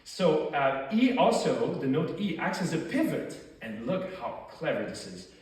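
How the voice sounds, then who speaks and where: A man's voice: a little high, sing-song, unhurried, darkish tone. A man with a thick French accent giving an academic lecture, explaining points and posing rhetorical questions to his audience. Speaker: doctoral lecturer. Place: lecture hall. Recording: echoey hall, far from mic.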